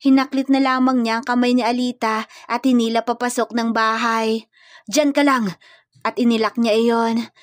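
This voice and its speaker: Woman narrator, fairly high voice, scripted dramatic storytelling, dry studio-like sound. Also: flat delivery, moderate pace, dry recording.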